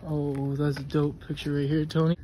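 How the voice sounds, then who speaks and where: A young man vlogging a hike into his phone outdoors, excitable and laughing often as he talks to the camera. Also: on the high side, normal speed, a little hiss or hum. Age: young adult. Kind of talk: casual hiking vlog. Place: outdoors on a hillside trail. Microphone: phone held at arm's length.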